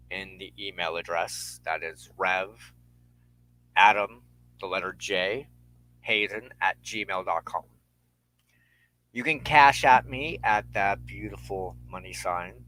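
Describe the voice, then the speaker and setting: A man hosting a spiritual show, speaking reflectively and earnestly in measured, halting short phrases with deliberate pauses. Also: fairly low pitch, sharp tone, no room sound, low-quality recording. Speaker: host and minister of a spiritual show. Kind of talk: reflective spiritual talk to an online audience.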